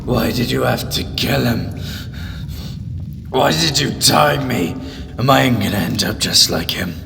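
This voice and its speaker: A man's voice: mid-range voice, a little slow, vocal fry, in a large hall, over music. A man acting in an audio drama as a frightened, distraught captive father, anguished and pleading. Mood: desperate, demanding. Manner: anguished, pleading, swinging to outbursts.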